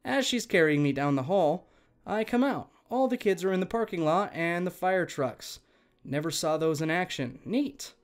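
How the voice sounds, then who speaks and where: High-voiced man narrating stories. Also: normal speed. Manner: conversational, expressive, addresses listeners directly. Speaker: channel host and narrator. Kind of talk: reading online stories aloud, scripted narration with chatty asides.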